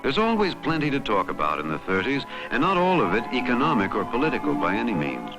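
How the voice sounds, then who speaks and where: A man delivering scripted, measured and dramatic narration for a documentary. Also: medium pitch, huge pitch swings. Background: music bed.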